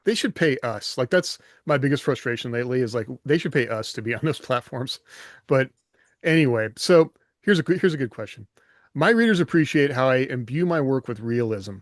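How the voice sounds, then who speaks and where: A man's voice: medium pitch, lively intonation, fairly quick, dry recording, studio quality. A man co-hosting a casual podcast chat, speaking informally as if thinking aloud. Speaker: podcast co-host and fiction writer.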